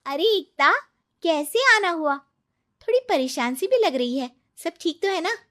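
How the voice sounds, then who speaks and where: A woman's voice: very high voice, sing-song, measured pace, fairly bright tone, voice pushed up, exaggerated voice. A woman voicing a chatty, teasing young married friend gossiping in a cartoon. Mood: concerned.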